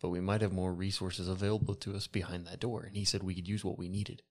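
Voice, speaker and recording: A man's voice: low voice, flat delivery, fast talker. A man playing a tabletop role-playing game, chatting casually in character with another player.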